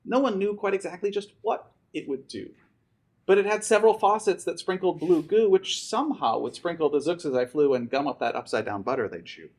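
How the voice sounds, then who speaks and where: Animated man reading a storybook. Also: fairly high pitch, fairly quick. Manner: theatrical, dramatic. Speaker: storybook reader. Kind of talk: expressive rhyming children's story read aloud to students.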